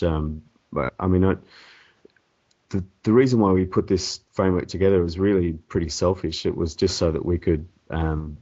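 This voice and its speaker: Hoarse low-voiced man with a cold. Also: a little mellow. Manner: hesitant, many ums and restarts. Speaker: template developer guest. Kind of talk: casual interview answers. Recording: dull lo-fi audio.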